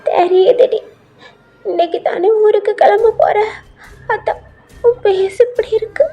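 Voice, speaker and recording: Casting: woman playing elderly grandmother for audio drama, very high voice, tearful, loving, pleading and wailing. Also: takes it slowly, muffled recording, soft background music. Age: older. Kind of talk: acted audio story.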